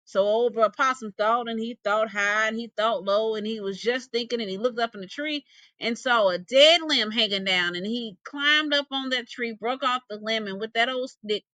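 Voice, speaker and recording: A woman's voice: fairly high pitch, sharp tone, no room sound. A woman narrating a folktale expressively, with folksy dialect phrasing and dramatic delivery. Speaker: storyteller, English teacher.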